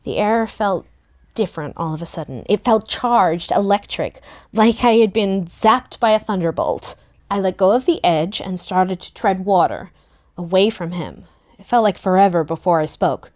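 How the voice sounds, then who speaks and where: A woman playing a teenage girl who narrates her own story in a soft, reflective way, with pointed dialogue. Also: medium pitch, animated, normal speed, close to an everyday voice. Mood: unsettled. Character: teenage girl narrator, wistful, indignant, easily flustered. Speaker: table-read performer. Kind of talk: first-person audiobook narration with acted dialogue.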